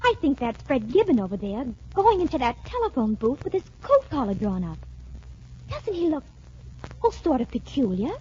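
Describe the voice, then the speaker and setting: An anxious, earnest teenage girl in a 1940s radio serial. Her voice is very high and swoops with emphatic urgency, through a dull, band-limited old recording. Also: wildly swooping pitch, normal speed, a little mellow. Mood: curious, concerned. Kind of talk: 1940s radio adventure acting.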